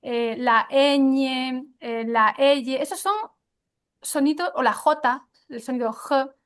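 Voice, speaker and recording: A woman with a high voice answers interview questions about teaching pronunciation, in a relaxed conversational way. She hesitates often, filling gaps with "eh" and restarting her sentences as she thinks aloud. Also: slow, clean tone. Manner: hesitant, many fillers and restarts.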